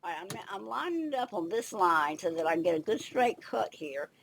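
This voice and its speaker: An older woman with a slight head cold and a raspy, gravelly voice, chatting casually and thinking aloud as she demonstrates a craft project. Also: fairly low pitch, very animated, brisk, slight background noise. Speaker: craft video host.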